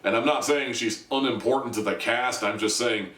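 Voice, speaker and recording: A man's voice: medium pitch, normal speed, a bit bright. A man giving a casual spoken video review in a wry, self-deprecating, conversational way. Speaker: reviewer, host of an audio drama review video series. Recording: roomy echo, distant from the mic.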